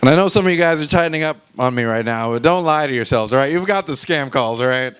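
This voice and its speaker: A man performing conversational stand-up at a live open mic, animated and sweary, often checking in with the audience using short questions. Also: medium pitch, quick pace. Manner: animated, swearing, frequent rhetorical check-ins to the crowd. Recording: clearly noisy live open mic recording.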